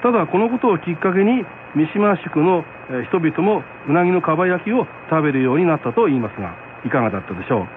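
A male radio host explains things politely, his fairly high voice moving in lively swings. The sound is narrow and tinny, with faint noise. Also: fast talker, hardly any pauses. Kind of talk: explanatory talk to listeners.

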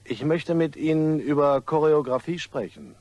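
A man's voice: a little high, normal speed, a little mellow, no room sound, lightly acted. Actor as a smug, suave male blackmailer. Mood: suave, insinuating. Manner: suave, mock-polite, taunting. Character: smug male blackmailer, mockingly courteous and menacing. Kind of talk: German crime radio play acting.

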